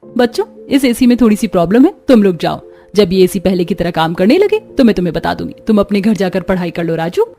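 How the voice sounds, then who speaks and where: A woman's voice: mid-range voice, sing-song, fast talker, dark tone. A woman voicing a stingy, snobbish rich young housewife in a cartoon, dismissive and full of excuses. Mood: false politeness. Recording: music behind the voice.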